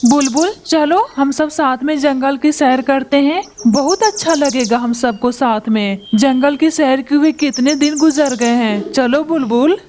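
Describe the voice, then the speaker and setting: Cartoon crow dad, plotting and curt, voiced by a woman, highish voice. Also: a little slow, few pauses, a little mellow, slight background noise. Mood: coaxing. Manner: gruff, dismissive, plotting. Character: scheming male crow, husband and father. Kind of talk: Hindi cartoon voice acting.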